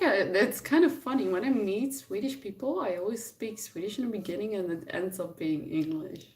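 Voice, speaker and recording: Dutch woman chatting, distant from the mic. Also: medium pitch. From the Netherlands. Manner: rambling, thinking aloud. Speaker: live streamer answering viewers. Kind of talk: casual chat, reading and answering questions.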